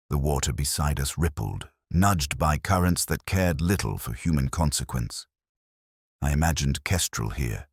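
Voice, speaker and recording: A man playing a weary, melancholy police inspector, narrating a detective drama in solemn, measured, literary phrasing. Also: a bass voice, no echo, clean studio sound, exaggerated voice. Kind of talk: first-person scripted detective drama narration.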